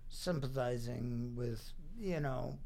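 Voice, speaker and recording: A man's voice: medium pitch, takes it slowly, vocal fry, a crowd in the background. An older man chatting as an interview guest in a hesitant, wry, plainspoken way. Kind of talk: casual chat.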